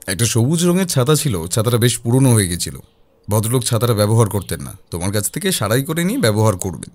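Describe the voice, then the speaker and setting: A man's voice: fairly low pitch, big pitch swings, fast, darkish tone, dry recording. A man reading a scripted first-person detective story as its narrator.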